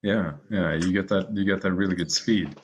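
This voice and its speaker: A man's voice: sits low, steady tone, normal speed, lo-fi sound, slight background noise. A man hosting a podcast, interviewing his guest in a casual, friendly, encouraging way. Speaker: podcast host.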